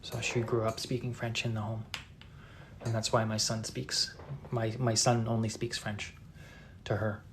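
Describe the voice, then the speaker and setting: A soft-spoken man in his thirties talks casually as he paints, stopping and restarting often. His voice sits low and barely moves in pitch, and a faint hiss lies under it. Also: flat delivery, unhurried. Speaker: painter streaming a live session. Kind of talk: casual chat while painting.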